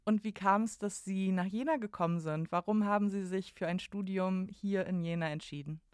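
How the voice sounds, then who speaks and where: A woman hosting a university podcast, politely welcoming her guest and asking clear questions. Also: mid-range voice, normal speed, darkish tone, no room sound, studio quality. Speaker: podcast host, university international office staff. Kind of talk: podcast interview.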